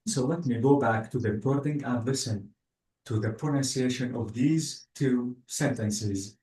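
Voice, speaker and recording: Slow patient male English teacher, echoey. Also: medium pitch, dark tone. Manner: slow, patient, spells out word endings, praises with "bravo". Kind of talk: online class teaching. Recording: echoey, distant room sound.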